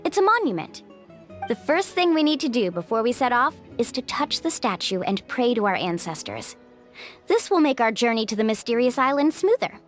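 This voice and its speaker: Young female adventure guide for a game: high-pitched voice, animated, confident, friendly. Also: moderate pace, faint music behind. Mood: friendly, explaining. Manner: bright-spirited, friendly, earnest. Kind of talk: video game voice acting.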